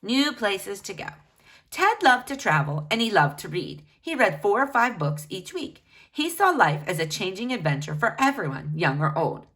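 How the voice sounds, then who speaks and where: A woman's voice: mid-range voice, sing-song, normal speed, a bit bright. A woman reading a children's biography book aloud, speaking to children in a teacherly way with pointed emphasis. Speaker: read-aloud storyteller for children.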